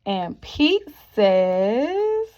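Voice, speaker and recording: Slow, high-voiced woman reading to children. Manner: expressive, questioning, encouraging young listeners. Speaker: teacher reading a picture book aloud. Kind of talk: children's read-aloud with questions to listeners. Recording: dull, muffled lo-fi sound.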